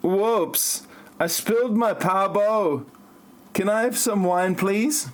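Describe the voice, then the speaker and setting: A man acting out a stiff, self-important writer who hacks on the side. He speaks slowly and robotically in a fairly high male voice, full of grievance, as in an amateur parody audio play. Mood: flat complaint. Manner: stilted, robotic delivery. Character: stiff, aggrieved male novelist and self-styled hacker.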